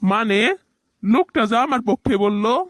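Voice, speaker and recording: A very high-pitched cartoon village boy played by a man, smug and bossy. He taunts and gives orders in everyday colloquial speech. The sound is dry and close. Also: lively intonation, measured pace, fairly bright tone, dry recording. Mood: argumentative. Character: bossy, teasing young village boy who wins a bet. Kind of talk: cartoon voice acting.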